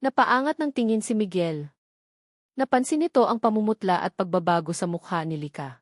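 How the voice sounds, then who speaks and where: Filipina woman reading out a dramatic audio story, mid pitch, really dry close sound. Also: expressive, brisk, no room sound. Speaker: narrator.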